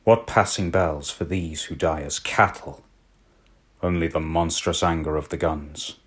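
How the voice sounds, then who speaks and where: A man with a husky voice giving a dramatic recital of war poetry. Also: a bass voice, steady tone, a little slow.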